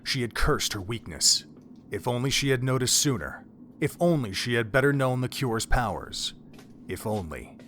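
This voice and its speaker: A man's voice: mid-range voice, lively intonation, normal speed, fairly bright tone, slight background noise. A man narrating a dramatic story from a script, as the narrator of an audio drama.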